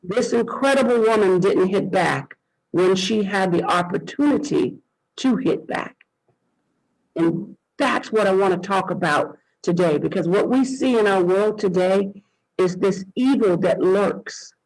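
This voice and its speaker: A man's voice: sits high, unhurried, gravelly, poor audio. A man hosting an online interview, introducing his guest with emphatic, preacherly cadence over a video call. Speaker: host.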